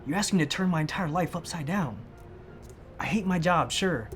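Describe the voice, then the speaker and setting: A man's voice: fairly high pitch, brisk, a bit bright, soft background music, natural voice. A man playing an anxious, sensible, conventional fiancé in a comedic short film, earnest and reasoning as he protests. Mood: exasperated pleading.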